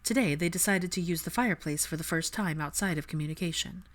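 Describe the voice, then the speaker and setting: A woman's voice: lowish voice, fast talker. A woman reading scripted fiction aloud as an audiobook narrator.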